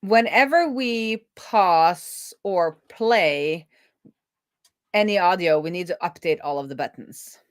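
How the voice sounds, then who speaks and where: A woman with a fairly low voice talks through her work as a casual coding streamer. The sound is dry, and she reasons out loud, trailing off and rephrasing her thoughts as she goes. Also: slow pace, no echo. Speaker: host, web developer live coding.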